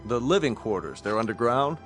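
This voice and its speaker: An actor playing a courteous, businesslike colonel in command of a rescue spaceship, measured and official, in a radio drama. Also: male voice, medium pitch, lively intonation, a bit bright, natural voice. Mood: polite, firm. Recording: radio drama with faint music behind.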